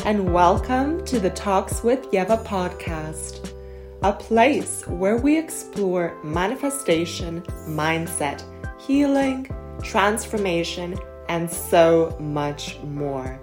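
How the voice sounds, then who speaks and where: Woman podcaster w a cold, low voice, slow easy talk, gentle and chatty. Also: expressive, music in the background. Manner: gentle, affectionate, rambling with fillers. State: has a cold. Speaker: podcast host. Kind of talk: casual self-help podcast talk. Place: home in Germany.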